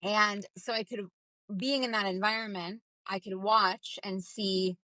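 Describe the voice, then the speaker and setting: A woman's voice: medium pitch, fairly even intonation, measured pace, bright, forceful tone, no echo. A young woman from Minnesota, a ghostwriter guest, who talks casually and rambles with many fillers and restarts. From Minnesota, United States. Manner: rambling, filler-heavy, acts out quoted voices. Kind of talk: casual interview answers.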